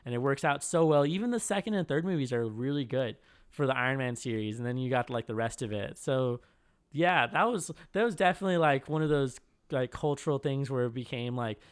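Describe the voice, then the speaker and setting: A man in his late twenties talks loosely and eagerly, stringing thoughts together with a steady stream of fillers. His voice is smooth and clear, and the sound is dry and close with no room around it. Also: mid-range voice, a little fast, dry recording. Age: 20s. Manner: rambling, heavy on "like", animated retellings. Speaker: podcast co-host. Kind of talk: casual chat.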